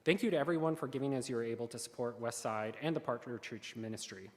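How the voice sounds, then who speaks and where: A man reading the offering announcements at a church service. He speaks earnestly and carefully at a middling pitch. His voice carries a long reverb from the sanctuary. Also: fast. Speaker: worship service lay leader.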